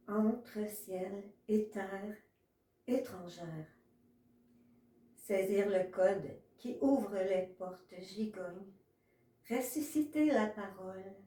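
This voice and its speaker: A woman reads literary poetry in a measured way, pausing between short phrases. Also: medium pitch, mellow. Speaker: author reading own poetry. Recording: echoey room, distant from mic.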